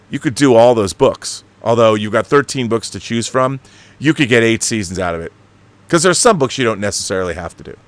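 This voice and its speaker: A man's voice: lowish voice, fairly bright tone. A man hosting a live stream, reading out viewer comments and giving his opinions in a casual, rambling, conversational way. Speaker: live stream host answering viewer messages.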